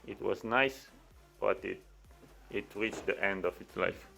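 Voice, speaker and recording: A man's voice: lowish voice, measured pace, vocal fry, sharp tone, soft background music. A man in his thirties with a Romanian accent, chatting casually as he walks through a construction site. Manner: chatty, repeats words while talking. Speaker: vlogger. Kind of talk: casual vlog walkthrough.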